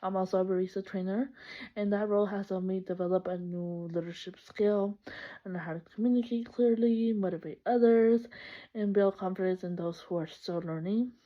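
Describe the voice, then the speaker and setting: A woman's voice: medium pitch, fairly even intonation, normal speed. A young woman from El Salvador introducing herself for a class, chatty and upbeat, laughing often and correcting herself. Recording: slightly muffled lo-fi recording.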